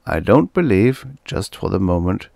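A man's voice: deep voice, very animated, normal speed, gravelly, a little mellow, no echo, clean studio sound, exaggerated voice. A man voicing a cautious barman who speaks in a wary, confiding way. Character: cautious middle-aged barman.

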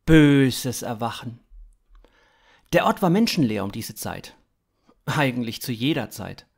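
A man in his thirties reading scripted science fiction narration for a story podcast. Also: mid-range voice. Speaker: author-narrator.

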